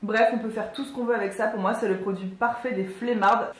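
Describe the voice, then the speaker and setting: A woman's voice: mid-range voice, fairly even intonation, brisk. Chatty young woman in an echoey room. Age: 20s. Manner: chatty, enthusiastic, many fillers. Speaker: beauty vlogger. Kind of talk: casual product review.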